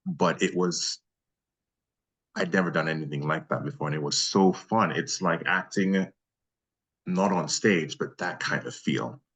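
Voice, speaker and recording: A man with a very low, bass voice talks in a casual interview. He is a voice actor and a guest, speaking in an effusive, appreciative way. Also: a little slow, a bit bright, breathy edge, muffled recording. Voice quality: deep bass voice.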